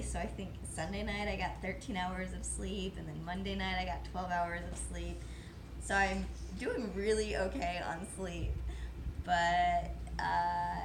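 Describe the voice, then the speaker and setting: A woman's voice: mid-range voice, reverberant, noisy background. A woman vlogger who is losing her voice, talking in a casual, rambling way full of fillers while outdoors. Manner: chatty, rambling, lots of fillers. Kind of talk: casual chat. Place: outdoors in wind.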